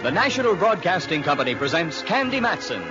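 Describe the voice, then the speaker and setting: A man's voice: high-pitched, big pitch swings, a little fast. A man introducing a 1940s radio show in a theatrical, polished announcer style, on a dull vintage recording with organ music. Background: loud organ music.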